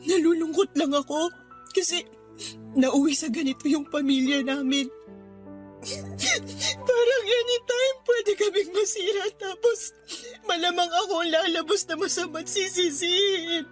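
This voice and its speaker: A woman's voice: very high-pitched, measured pace, soft background music. A woman with a Filipino accent playing a hurt, anxious wife and mother in a radio drama, tearful and plaintive. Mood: worried, wounded. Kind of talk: Tagalog radio drama acting.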